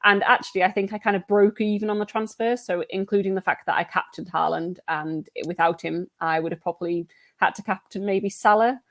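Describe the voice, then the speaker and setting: A woman guest on a football fantasy podcast, talking in a relaxed, self-deprecating way with many "um"s. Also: mid-range voice, brisk, no room sound. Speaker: guest analyst. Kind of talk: casual chat.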